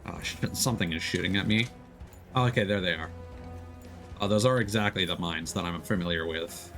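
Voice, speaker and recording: A man's voice: low-pitched, brisk, fairly bright tone, soft background music. A man streaming a video game, giving casual live commentary and explaining tactics step by step as he plays. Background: video game sound.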